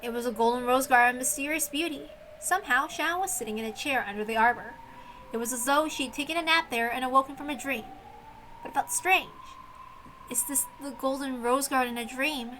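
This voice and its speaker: High, bright-voiced woman narrating. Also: a little fast, a little hiss or hum, low-quality recording. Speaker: reader of a visual novel. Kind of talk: expressive scripted story narration.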